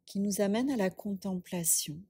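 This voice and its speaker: A woman guiding a yoga nidra meditation as a teacher, calm and unhurried, in soft breathy short phrases with long pauses. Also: medium pitch, no echo. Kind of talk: guided meditation.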